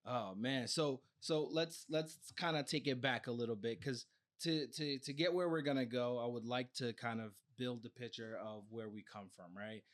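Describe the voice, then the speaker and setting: A man's voice: medium pitch, normal speed. American man hosting a podcast interview. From Brooklyn, New York, United States. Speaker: podcast host interviewing a guest.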